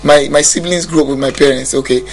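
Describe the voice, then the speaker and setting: Reflective Nigerian man, a little high. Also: fairly even intonation, fast talker, vocal fry. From Nigeria (Bayelsa State). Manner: reflective, often restarts sentences, laughs easily. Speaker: musician guest. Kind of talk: casual interview talk.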